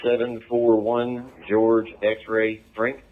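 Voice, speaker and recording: A man's voice: medium pitch, level tone, slow. An American man working as a police officer, speaking over the radio and spelling out codes with small hesitations. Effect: police radio transmission. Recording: narrow phone-like police scanner radio.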